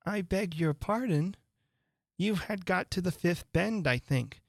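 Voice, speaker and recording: A woman's voice: lowish voice, animated, a little slow, no room sound, clean studio sound, pitched above the performer's own voice. A woman voicing an earnest, chatty, polite little girl in a storybook, with an eager, pleading manner. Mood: apologetic.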